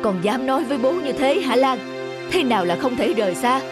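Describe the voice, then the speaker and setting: A woman's voice: sits high, lively intonation, brisk, music in the background. A woman voicing a stern, domineering middle-aged father in a cartoon. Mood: angry. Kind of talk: cartoon dub acting.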